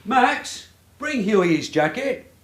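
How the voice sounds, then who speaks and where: An actor playing a shrewd, needling British detective sergeant in a radio drama, sardonic and mock-courteous. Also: male voice, sits high, sing-song, slow, noisy background, exaggerated voice. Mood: brisk, solicitous.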